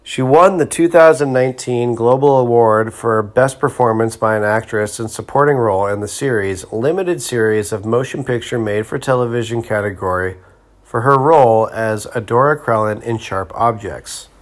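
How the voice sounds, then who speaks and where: A man narrating a scripted biography, reading facts in a steady, list-like way. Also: medium pitch, moderate pace.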